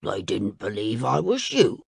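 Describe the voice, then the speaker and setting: A woman voicing a sulky, greedy male crocodile in a storybook reading, blunt and grumbling, with her voice pushed lower. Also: huge pitch swings, measured pace, vocal fry, slightly rough, no echo, clean studio sound, full character voice.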